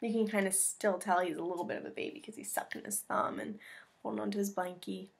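Female American teacher, mid-range voice, expressive picture-book read-aloud with simple asides for kids. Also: mid-range voice, quick pace, gravelly, a little hiss or hum. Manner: expressive, explains things simply for children. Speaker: teacher reading a picture book to her class. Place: small room at home with bookshelves.

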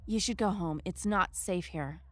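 A woman's voice: fairly low pitch, a little slow, no room sound. A woman playing a guarded, sarcastic young sidekick in an audio drama, speaking casually and bluntly. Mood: guarded, evasive.

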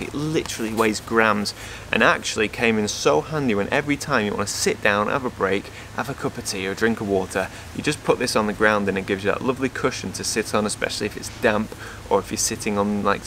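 A man's voice: lowish voice, fairly bright tone, slight background noise. A man in his thirties from England, chatting casually to camera in a garden while reviewing his hiking gear, upbeat and full of asides. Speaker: outdoor photographer and vlogger. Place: outdoor garden patio in front of a brick house. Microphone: camera on a stand facing him, close.

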